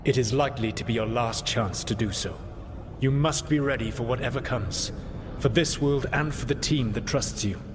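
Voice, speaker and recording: An actor playing a proud, regretful ancient elven god in a video game, speaking in a deliberate, formal, reflective way. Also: male voice, medium pitch, background noise, lightly acted. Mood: solemn. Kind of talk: dramatic video game acting.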